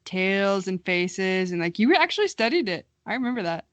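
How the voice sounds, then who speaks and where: A woman's voice: mid-range voice, very animated, moderate pace, no room sound, lo-fi sound. A young adult woman co-hosting a podcast, giving casual comic commentary in a wry, teasing way. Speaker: podcast co-host.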